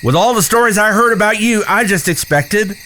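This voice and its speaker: A man's voice: sits high, animated, fairly quick, sharp tone, a little hiss or hum, poor audio. An actor playing a foul-mouthed, defiant young boy in a Western audio drama. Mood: blunt.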